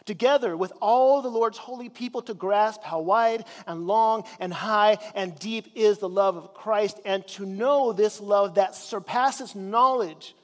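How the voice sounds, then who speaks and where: A man's voice: high-pitched, measured pace, few pauses, long reverb tail. A man from Chile preaching a sermon in a conversational way, drawing out key words for emphasis. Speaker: pastor. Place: church sanctuary.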